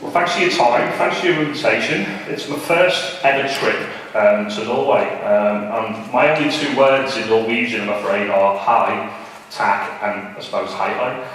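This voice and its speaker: A man's voice: on the low side, moderate pace, fairly bright tone. Man presenting in a very echoey hall. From Liverpool, England. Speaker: conference speaker, marketing director. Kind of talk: informal conference presentation. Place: large conference hall. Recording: very echoey hall, distant, some noise.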